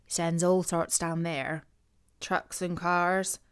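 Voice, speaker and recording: A woman voicing a wary, suspicious male farmer in a children's story, gruffly matter-of-fact. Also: fairly low pitch, flat delivery, slow. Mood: wry, unimpressed.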